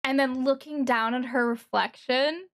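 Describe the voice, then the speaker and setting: A woman giving casual, excited reaction commentary as a streamer, thinking aloud haltingly with interjections. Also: sits high, a little hiss or hum. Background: music from the watched video.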